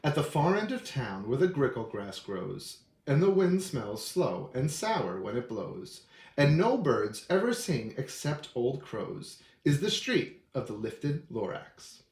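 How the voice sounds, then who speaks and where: A man reading a rhyming children's storybook aloud in an expressive way. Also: medium pitch, slow pace. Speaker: storybook reader. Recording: roomy echo.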